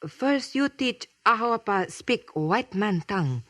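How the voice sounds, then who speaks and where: An actress playing an earnest, quietly determined young woman speaking halting broken English in a husky voice in a 1950s radio drama. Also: mid-range voice, animated, no room sound. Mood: earnest, grateful.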